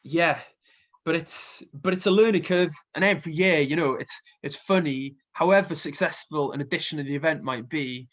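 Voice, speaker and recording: A man's voice: a little high, normal speed, fairly bright tone, muffled recording. A man with a Welsh accent and a slight cold, chatting casually and rambling with plenty of fillers as a festival booker being interviewed. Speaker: festival co-founder and music booker.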